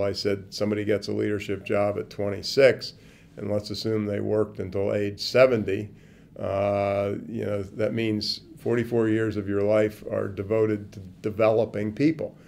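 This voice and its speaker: An older man answering interview questions in a casual, animated way, on a lapel mic at an indoor event. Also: on the low side. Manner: animated, gestures with hands, swings from quiet to loud. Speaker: interviewee, business speaker. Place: indoor event interview corner before a branded backdrop.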